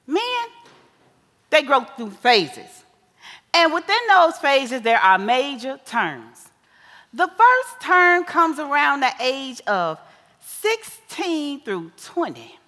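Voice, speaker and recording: An actress playing an older American mother on stage, folksy, wise, teasing and blunt, with drawn-out emphatic phrasing. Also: sits high, sharp tone, full character voice. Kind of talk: stage play acting. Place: live stage in a reverberant hall. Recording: echoey theater hall.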